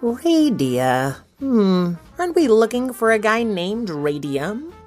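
A woman's voice: mid-range voice, sing-song, slow pace, a little mellow, faint music behind. A woman playing a curious, chirpy young girl detective in an audio drama, casual and inquisitive.

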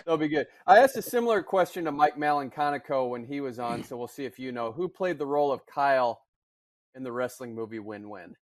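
A man running a quick trivia segment on a podcast, reading questions and confirming answers in a brisk, matter-of-fact way. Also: mid-range voice, expressive. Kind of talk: running a quiz.

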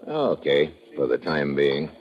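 An actor playing a tough, wisecracking American adventurer, clipped and sardonic, in hardboiled old-time radio style. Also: male voice, a bass voice, sing-song, takes it slowly, full character voice. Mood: wry, guarded. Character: tough, wisecracking male adventurer narrating his dangerous assignment. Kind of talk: 1950s hardboiled radio acting. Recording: dull vintage radio recording.

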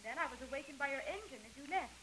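An actress playing a plucky, determined young missionary's daughter in an old radio serial, on a noisy vintage recording. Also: female voice, sits high, expressive, rapid-fire, bright, forceful tone. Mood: breezy, determined. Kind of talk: 1930s radio serial acting.

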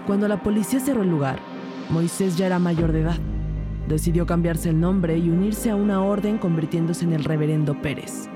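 A woman voices a female detective recounting a case in a crime audio drama. Her narration is measured and serious, in a lowish voice. Music plays clearly behind her. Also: close to an everyday voice. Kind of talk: scripted crime fiction narration.

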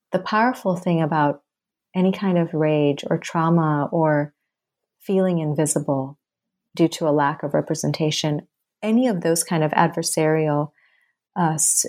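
Low-voiced earnest woman author. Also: a little mellow. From Bangladesh. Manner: earnest, builds in rhythmic parallel phrases. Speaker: author guest. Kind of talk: reflective interview answers.

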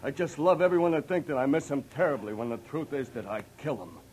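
An actor playing a resentful, murderous secretary in an old radio drama, with a sneering, sarcastic manner. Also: male voice, mid-range voice, animated, quick pace, a little mellow, close to an everyday voice. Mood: bitter, enraged. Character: resentful murderous male secretary, cornered and menacing. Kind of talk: 1940s radio drama acting. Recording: noisy old radio recording.

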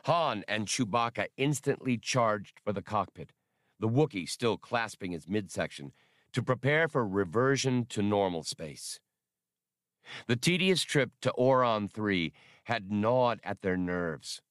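A man narrating an unabridged audiobook in a measured storytelling manner. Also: lowish voice, lively intonation, a bit bright, no room sound, studio quality.

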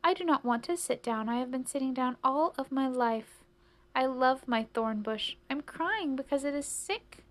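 A woman's voice: sits high, moderate pace, voice pushed up, close to an everyday voice. A woman voicing a fretful, sentimental old lady from a storybook, plaintive and exclamatory.

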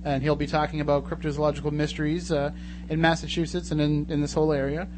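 A man with a fairly high voice hosts a casual radio talk show at a quick clip. His delivery is chatty and joking. There is clear humming noise on the studio recording. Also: little pitch movement. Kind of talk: casual chat. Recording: noisy studio audio with hum.

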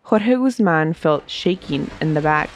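A woman's voice: low-pitched, unhurried, slight background noise. A woman hosting a history podcast, reading a scripted story aloud while stuffy from a cold. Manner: steady storytelling narration. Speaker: podcast host.

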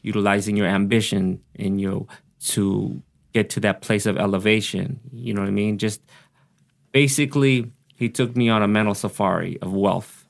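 Chill filipino-born guy in his 40s chatting on a podcast, kinda gravelly. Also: on the low side, fairly even intonation, normal speed. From Philippines. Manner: laid-back, reflective, often says "you know what I mean". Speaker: guest, author. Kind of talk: casual podcast interview.

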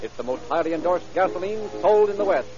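Male vintage radio announcer, slightly high voice, polished folksy selling, muffled recording with music. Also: lively intonation, fast. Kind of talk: 1930s radio commercial announcing. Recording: dull old radio recording, music behind.

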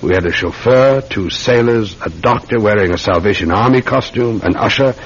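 An actor playing a polite, methodical London police detective with a British accent, deferential and musing in an old-time radio drama. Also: male voice, on the low side, normal speed, slightly rough. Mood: earnest, theorising. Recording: dull, muffled classic radio recording.

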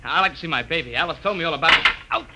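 A man's voice: a little high, racing, close to an everyday voice. An actor playing a jumpy, eager young spaceman in a 1950s science fiction radio drama. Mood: eager, pleading. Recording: very noisy old radio recording.

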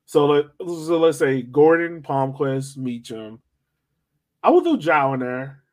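A man's voice: fairly high pitch, animated, takes it slowly. Casual man talking soccer. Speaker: soccer podcast co-host. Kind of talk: casual sports discussion.

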